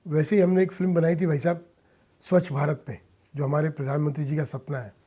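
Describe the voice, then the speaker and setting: Male, middle-aged or older, higher-set yet soft-edged mellow voice, earnest interviewee delivering deliberate, emphatic phrases. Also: level tone, breathy edge. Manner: emphatic, deliberate, short phrases, respectful address, some English mixed in. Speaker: interview guest, social-cause filmmaker and philanthropist. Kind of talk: earnest radio interview talk.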